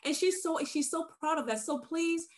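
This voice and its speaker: A woman's voice: extremely high pitch, moderate pace. A woman from Nigeria speaking on a video-call webinar panel, earnest and urging as she encourages parents. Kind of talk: passionate webinar talk.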